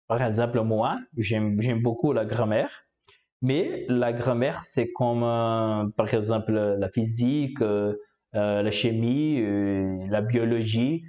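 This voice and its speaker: A man from Brazil talks through how he learned French, his Brazilian Portuguese accent plain in every word. He speaks slowly, stopping often on fillers and repeating words as he searches for them. His voice is dark and mellow. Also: medium pitch. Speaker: language learner. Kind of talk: casual explanatory talk.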